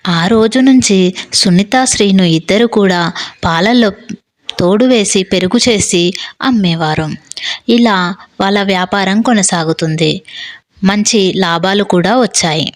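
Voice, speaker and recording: A woman's voice: medium pitch, measured pace, long pauses, dry recording. A woman giving scripted narration of a moral story.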